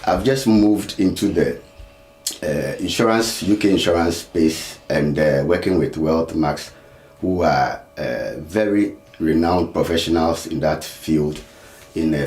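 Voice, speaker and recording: Man, very deep voice, talk show guest, measured, fillers, roomy echo. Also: a little mellow. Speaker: insurance consultant guest. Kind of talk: studio talk show interview.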